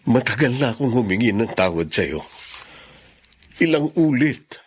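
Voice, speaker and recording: An actor playing a weary, remorseful middle-aged father in a radio drama, speaking earnestly and in measured phrases with a husky voice. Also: medium pitch, big pitch swings. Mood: weary, firm. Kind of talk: Filipino radio drama acting.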